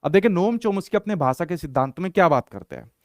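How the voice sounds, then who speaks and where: A man's voice: high voice, animated, fast talker, dry recording, studio quality. A young male teacher lecturing in an online exam-prep class, repeating points and asking rhetorical questions he answers himself. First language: Hindi.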